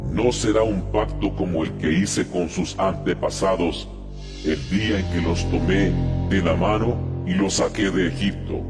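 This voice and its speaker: A man voicing a majestic, solemn God in a dramatized audio Bible, declaiming in a measured way. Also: low-pitched, music in the background. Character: God speaking scripture, solemn, slow, majestic male voice.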